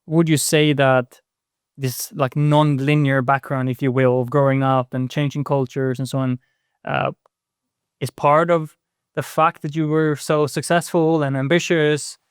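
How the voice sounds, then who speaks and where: Guy hosting a podcast, kinda halting with lots of ums and "right?", dry close sound. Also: a little high, level tone, clear, resonant tone, no room sound. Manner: hesitant, lots of fillers and tag questions. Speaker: podcast host. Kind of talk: casual interview talk.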